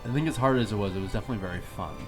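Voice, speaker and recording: A man's voice: fairly low pitch, rapid-fire. A man co-hosting a podcast in casual group chat, rambling and peppering his sentences with "like". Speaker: podcast co-host. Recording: music playing behind the voice. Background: music.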